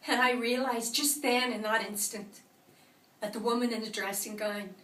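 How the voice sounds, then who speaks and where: A woman playing a vengeful, traumatised woman in a confessional monologue, speaking deliberately with dramatic pauses. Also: a little high, steady tone, fairly bright tone, lightly acted. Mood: numb, shaken. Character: vengeful, traumatised woman recounting a violent night. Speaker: actor performing a monologue. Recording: echoey room, distant microphone.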